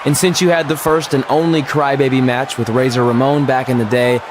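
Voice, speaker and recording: A man's voice: medium pitch, level tone, a little fast, natural voice. Man as an earnest young wrestler, noisy backdrop. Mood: reminiscing. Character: earnest young male indie wrestler, good-natured. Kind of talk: video game cutscene acting.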